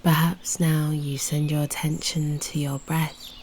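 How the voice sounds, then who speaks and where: A British woman guiding a meditation in a soft, breathy, near-whispered voice, soothing and unhurried, leaving long pauses. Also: low voice, little pitch movement, a little hiss or hum. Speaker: meditation guide and host. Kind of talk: guided meditation narration.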